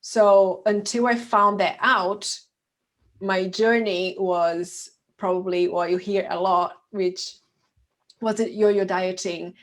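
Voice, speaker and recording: A woman's voice: mid-range voice, steady tone, takes it slowly. A woman in her thirties with a Brazilian accent and Portuguese as first language, talking casually and personally as an interview guest. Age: 30s. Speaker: podcast guest, emotional eating coach.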